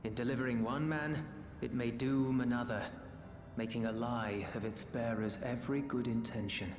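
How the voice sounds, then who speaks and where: An actor playing a solemn male sage in a video game, speaking in a grave, measured, formal manner. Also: medium pitch, fairly even intonation, lightly acted. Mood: grave, cautioning. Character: solemn, sage male keeper of old knowledge. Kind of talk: dramatic game cutscene acting. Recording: echoey hall reverb, game music.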